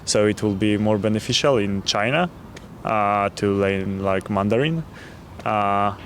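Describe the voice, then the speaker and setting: A man's voice: on the low side, a little slow. A man from Poland with a Polish accent, answering a street interview as a tourist and talking hesitantly with many fillers. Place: city street outdoors. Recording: street noise with traffic.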